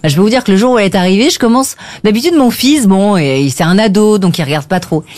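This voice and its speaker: A woman's voice: mid-range voice, lively intonation, quick pace, a little mellow. A middle-aged woman chatting casually as a radio panellist and telling anecdotes.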